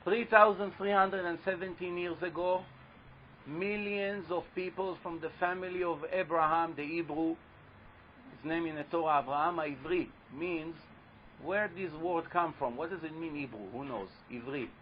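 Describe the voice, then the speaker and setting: A man with a loud voice giving a religious talk to a live audience, telling a story and putting questions to the listeners. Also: a little high, normal speed, slight background noise. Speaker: religious teacher speaking to an audience. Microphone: handheld or stand microphone. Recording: dull lo-fi live recording.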